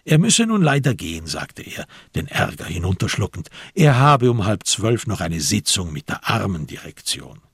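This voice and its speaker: A man with a gravelly, husky voice reading literary narration for a crime radio play. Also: on the low side, no room sound, clean studio sound. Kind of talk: scripted literary narration with reported speech.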